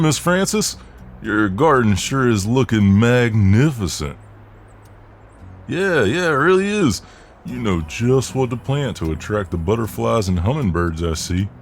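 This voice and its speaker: A man's voice: medium pitch, very animated, measured pace, faint music behind. A man acting out a friendly, protective, cocky Southern US mailman in an ASMR role-play, with a folksy, soothing drawl. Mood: cheerful, breezy.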